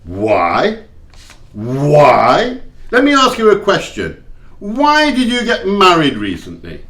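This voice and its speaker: A miserly old man, acted by a man in an audio play, grumbles with curt scorn. His pitch swings widely as he snaps and scoffs. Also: huge pitch swings, takes it slowly, a bit bright, heavily acted. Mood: curt, dismissive. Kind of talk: amateur audio play acting.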